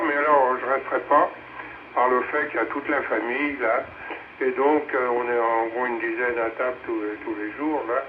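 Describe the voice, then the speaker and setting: An older man chatting casually as an amateur radio operator, rambling a little and searching for words, over a radio link. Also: mid-range voice. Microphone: two-way radio transmission. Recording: tinny, noisy VHF radio relay.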